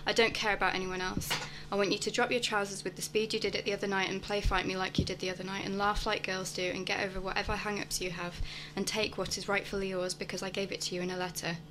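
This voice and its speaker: Urgent, quick-talking actress as a frank lover. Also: female voice, mid-range voice, level tone, music in the background, natural voice, poor audio. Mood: urgent desire. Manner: urgent, blunt, insistent. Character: frank, hungry woman demanding a hesitant lover. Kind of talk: radio play monologue.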